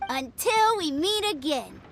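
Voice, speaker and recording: A woman's voice: sits high, big pitch swings, unhurried, gravelly, slight background noise, full character voice. A woman voicing a proud, innocent young child in a game, earnest and self-important. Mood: modest, polite. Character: proud, innocent little child playing at sword master. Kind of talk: game dialogue acting.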